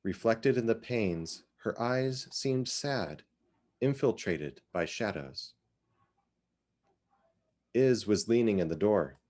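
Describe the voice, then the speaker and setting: A man reads literary fiction aloud in a lowish voice. His phrasing is deliberate and dramatic, with very lively rises and falls in pitch.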